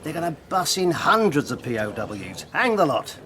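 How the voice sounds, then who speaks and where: A man's voice: fairly high pitch, big pitch swings, normal speed, a bit bright, slight background noise. An actor playing a chummy, boastful, zealous party-loyal English father in a radio drama, with an eager, bluff, stammering delivery. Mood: proud, gleeful. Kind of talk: naturalistic radio drama acting. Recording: crowded, busy background chatter.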